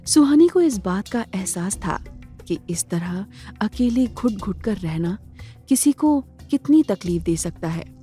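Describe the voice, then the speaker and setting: A woman narrating a scripted audio drama in a measured, dramatic storytelling manner. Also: medium pitch, mellow.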